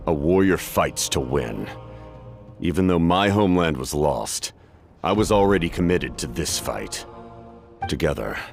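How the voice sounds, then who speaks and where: An actor playing an ancient, cursed masked warrior in a video game, stoic and weary, speaking gravely and formally in a measured way. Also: male voice, a bass voice, exaggerated voice.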